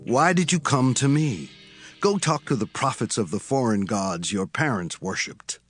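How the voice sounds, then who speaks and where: A solemn male prophet played by an actor speaks in an earnest, declamatory way. His mid-pitched voice moves expressively through each line. This is dramatized scripture, with soft music underneath. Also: animated, moderate pace, close to an everyday voice. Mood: stern. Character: Elisha, Hebrew prophet, firm and solemn man. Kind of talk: dramatized Bible audio drama.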